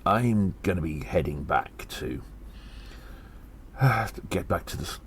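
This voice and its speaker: A very deep, slow-talking gnome sleuth, acted by a man, weary and grave as he ponders a conspiracy in an audio drama. He speaks in deliberate, halting phrases with sighing breaths, over faint background noise. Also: expressive. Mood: weary, musing. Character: weary gnome investigator reporting on a spy conspiracy.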